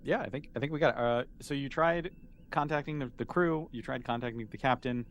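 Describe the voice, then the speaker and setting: Halting man running a tabletop game. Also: mid-range voice. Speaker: game master. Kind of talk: improvised game narration.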